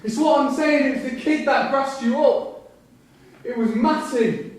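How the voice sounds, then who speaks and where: A man's voice: very high-pitched, unhurried. Actor as blunt male prisoner, distant and echoing. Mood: defensive, insistent. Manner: blunt, colloquial, defensive. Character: defensive young male prisoner, stubborn and cheeky. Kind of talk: staged reading of a prison drama. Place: theatre room at a live staged reading. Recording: distant, very echoey hall, faint noise.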